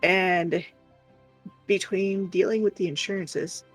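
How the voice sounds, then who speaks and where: A woman's voice: fairly low pitch, fairly even intonation, slow pace, breathy edge, rough recording. A woman streamer chatting casually and telling stories in a frank, exasperated, sweary way. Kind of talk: casual storytelling chat. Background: faint music.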